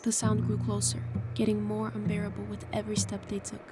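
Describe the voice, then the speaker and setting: Even-toned woman narrating over music. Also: mid-range voice. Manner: measured, storytelling. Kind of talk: scripted horror story narration. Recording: clear music behind the voice.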